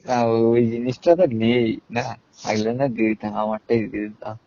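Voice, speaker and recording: A man's voice: mid-range voice, level tone, brisk, mellow. A man chatting casually with a friend over the phone. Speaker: friend receiving a call. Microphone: phone call. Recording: muffled phone line.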